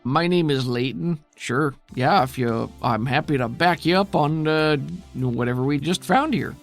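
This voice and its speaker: A man's voice: mid-range voice, measured pace, soft background music, close to an everyday voice. A man voicing a friendly, chatty male robot worker in a tabletop game, rambling and hesitant in a folksy way. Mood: cheerful. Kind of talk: tabletop roleplay character voice.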